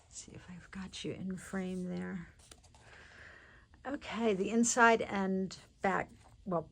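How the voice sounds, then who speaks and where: A woman showing off a handmade journal in casual show-and-tell narration, chatty and congested from allergies. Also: fairly low pitch. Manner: chatty and unhurried, with many fillers and restarts.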